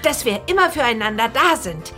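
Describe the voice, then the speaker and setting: Young girl, high pitch, bright, affectionate best friend, children's audio drama. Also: big pitch swings, brisk, faint music behind. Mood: affectionate, cheerful.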